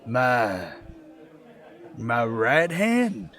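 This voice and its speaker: A man playing a rattled small-time crook in a radio drama, with rough, slangy, defensive speech. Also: mid-range voice, very animated, drawn-out words, a bit bright, some chatter behind, exaggerated voice. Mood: panicked, guilty. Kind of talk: radio drama acting as a gangster.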